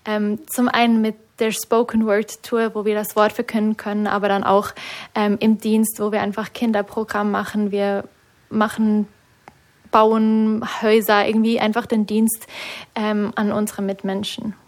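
A woman's voice: medium pitch, flat delivery, normal speed. A young woman from Switzerland with a Swiss German accent, a native Swiss German speaker, answering as a student guest in a radio interview. She talks hesitantly and nervously, with many fillers. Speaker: guest, discipleship school student.

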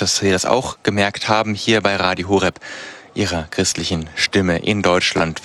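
Man, radio host, low voice, live presenting. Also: a bit bright. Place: outside-broadcast van.

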